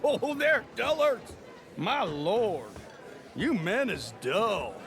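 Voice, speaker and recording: An actor playing a taunting, lonely drunk barfly in a video game cutscene, loud and slurred. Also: male voice, sits high, very animated, extremely slow, crowd noise, heavily acted. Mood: jeering, drunk.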